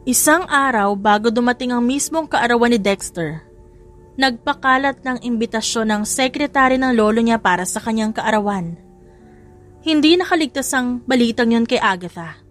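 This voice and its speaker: A woman's voice: fairly high pitch, moderate pace. A woman reading a scripted love story as a dramatic audiobook narrator. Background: music.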